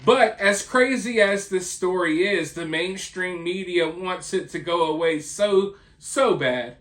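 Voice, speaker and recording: An opinionated host giving true crime commentary with emphatic delivery and dramatic pauses. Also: mid-range voice, a bit bright. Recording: roomy, echoing voice.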